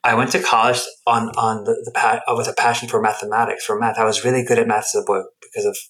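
Fast-talking, reflective man, lowish voice. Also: little pitch movement, fairly bright tone. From United States and Guatemala. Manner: reflective, emphatic, often rephrasing himself. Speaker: interview guest, anthropologist and researcher. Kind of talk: personal storytelling in an interview.

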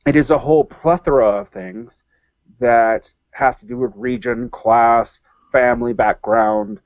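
A man's voice: mid-range voice, no room sound. Male guest, rambling and restarting, dry muffled line. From American South. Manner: rambling, many fillers and restarts. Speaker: podcast guest. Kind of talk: casual discussion.

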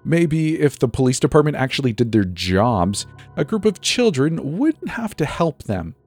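Man as defiant teen boy, mocking, breezy, raised lively pitch, story character. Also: normal speed, breathy edge, soft background music. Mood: defiant, cocky. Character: cocky, mocking teenage boy, defiant class troublemaker.

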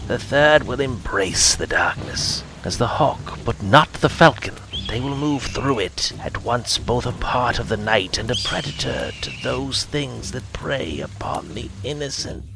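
A man voicing a stern, mystical old female oracle who speaks slowly and drops to a harsh whisper. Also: medium pitch, wildly swooping pitch, gravelly, noisy background, pitched above the performer's own voice, full character voice. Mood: harsh whispered prophecy.

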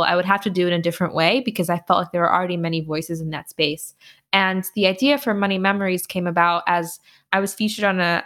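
A young woman answering interview questions casually, telling animated stories with lots of "like" fillers. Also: mid-range voice. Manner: animated storyteller with frequent "like" fillers and laughs. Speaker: podcast host and finance blogger, interview guest.

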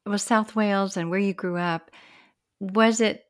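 A woman hosting a podcast, reading a measured introduction and then asking a guest questions. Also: mid-range voice, fairly even intonation. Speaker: podcast host. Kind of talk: scripted intro then interview.

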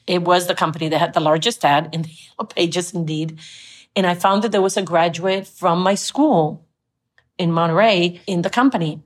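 Colombian-born woman recalling her career, low voice. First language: Spanish. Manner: reflective, earnest, recounts with quoted dialogue. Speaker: guest. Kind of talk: conversational interview storytelling.

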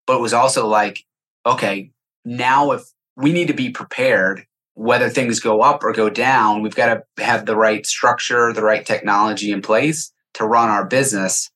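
Mid-pitched man chatting as podcast guest. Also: steady tone, moderate pace, bright, forceful tone. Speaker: podcast guest, software sales executive. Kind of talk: casual interview chat, anecdotal.

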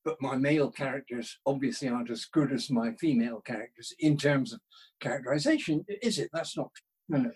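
A man's voice: medium pitch, fairly quick, a little mellow, slightly rough. A man with a British accent, a guest in a casual interview, talking in a rambling, self-deprecating, discursive way. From United Kingdom.

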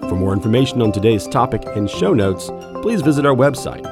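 A man reading a scripted podcast outro in a measured, formal way. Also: medium pitch, sing-song, music in the background. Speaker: announcer.

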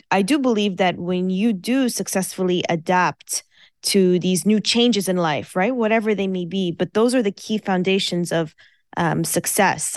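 A woman's voice: fairly low pitch, dry recording, studio quality. A woman, a Farsi speaker, answering interview questions in an earnest, casual way with many fillers and restarts. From United States. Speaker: guest.

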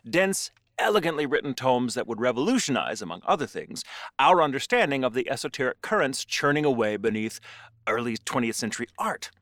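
A man's voice: medium pitch, fairly bright tone, no room sound, clean studio sound. A man presenting a podcast, reading a scripted essay in an erudite, literary manner with conversational asides.

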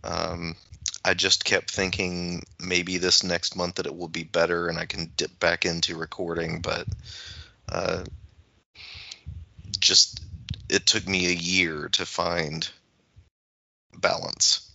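A man with a deep, strikingly bright and forceful voice chats casually as a podcast co-host. He thinks aloud in halting stretches. The sound is dry and a little lo-fi. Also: completely flat delivery, vocal fry, dry recording. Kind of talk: casual conversation.

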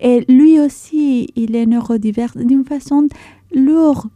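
A middle-aged woman from Mexico, a native Spanish speaker with a Mexican accent, speaking thoughtfully and haltingly as an interview guest. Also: sits high, dark tone, no echo. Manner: thoughtful, halting, rephrases as she goes. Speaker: interview guest, philosopher and theatre actress. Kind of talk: reflective interview talk.

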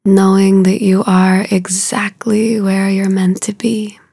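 A woman leads a guided meditation in a hushed, soothing voice. Her delivery is very slow and level, barely moving in pitch, and phrases trail off softly into breath. There is a gravelly fry in her tone, and the sound is close and unhurried. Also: completely flat delivery, no room sound.

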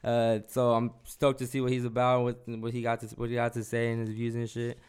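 Laid-back, swearing young podcast host. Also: male voice, mid-range voice, monotone, brisk, a little mellow, clean tone. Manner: profane, laid-back, laughs often. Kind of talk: casual chat.

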